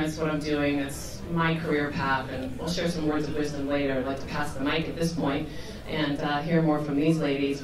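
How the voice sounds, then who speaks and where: A middle-aged woman moderating a conference panel, upbeat and energetic, laughing easily. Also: deep voice. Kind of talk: panel introduction. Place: conference room, with audience. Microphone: handheld mic, heard from afar. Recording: echoey room, distant mic, audience.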